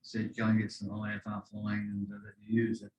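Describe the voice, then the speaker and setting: An Australian man asking an aviation guest technical questions, soft-spoken and hesitant with many "uh" and "um". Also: on the low side, completely flat delivery, fairly quick, noisy background. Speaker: audience questioner.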